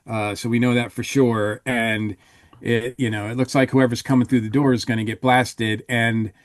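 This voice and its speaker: A man on a podcast panel in casual review chat, talking haltingly with trailing asides. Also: medium pitch, flat delivery, no echo, studio quality.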